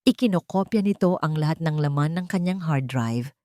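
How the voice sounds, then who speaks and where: A woman narrates an audio drama in the first person. Her voice sits on the low side, recorded close and dry. The delivery is scripted, dramatic storytelling. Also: lively intonation, moderate pace, mellow, no room sound.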